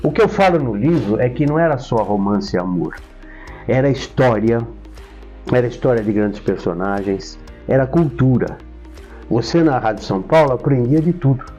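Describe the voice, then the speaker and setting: Older brazilian guy in an interview, lowish voice, emphatic storyteller, bit dull audio w music behind. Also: animated, moderate pace, mellow. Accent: Brazilian Portuguese. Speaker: interview guest. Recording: slightly dull sound, faint music behind.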